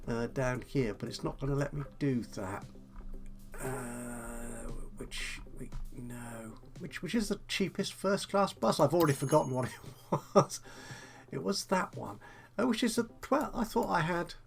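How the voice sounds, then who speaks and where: Man, slightly croaky, casual let's-play chatter, muttering asides, faint music behind. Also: a little high, fairly bright tone. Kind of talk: casual gameplay commentary.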